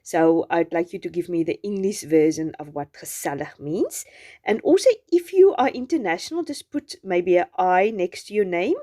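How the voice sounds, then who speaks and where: A South African woman whose first language is Afrikaans, chatting gently through a journaling craft voice-over. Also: fairly low pitch, animated, moderate pace. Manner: gentle, chatty, meandering with restarts. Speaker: journaling channel host.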